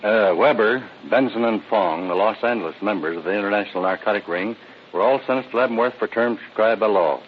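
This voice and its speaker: Low-voiced man, formal police captain. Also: quick pace. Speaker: police captain, guest commentator. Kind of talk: formal scripted 1930s radio address. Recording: dull noisy old radio recording.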